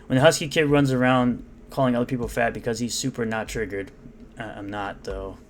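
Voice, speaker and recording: A man's voice: medium pitch, fairly even intonation, a little fast, slight background noise. A man reading out and mocking comments in an unscripted, sarcastic rant. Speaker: commentary YouTuber.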